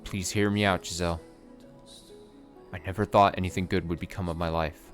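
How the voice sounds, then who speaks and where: A man's voice: low voice, steady tone, fairly quick, faint music behind, close to an everyday voice. A man voicing a tormented young knight, speaking in a solemn, tender way that sometimes turns shaky. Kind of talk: dramatic reading of a story.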